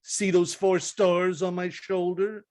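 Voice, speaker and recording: A man acting as a petulant little tyrant of a postal general in an old radio comedy. The voice sits high, pompous and officious, barking orders. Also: level tone, takes it slowly, darkish tone. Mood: bossy. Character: bossy, self-important male postmaster general, petulant.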